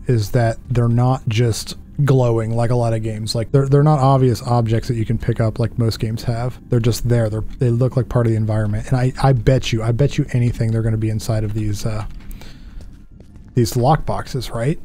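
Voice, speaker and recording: A man in his thirties with a headset, chatting and joking to himself through a horror game, mocking his own mistakes and jumping up in pitch when something startles him. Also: mellow. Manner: chatty, self-mocking, jumpy pitch swings. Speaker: gaming channel host. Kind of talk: horror game let's play commentary. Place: small dark room at a desk. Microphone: headset with desk mic.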